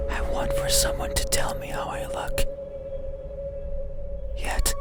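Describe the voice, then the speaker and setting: Man acting out hollow pleading monster, horror game, whispered ASMR, deliberate formal phrasing, drawn-out pace, loud eerie music. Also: lightly acted. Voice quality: breathy unvoiced whisper. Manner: slow, deliberate, formal, brooding. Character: eerie lonely bedside monster, pleading, resentful, emotionally hollow.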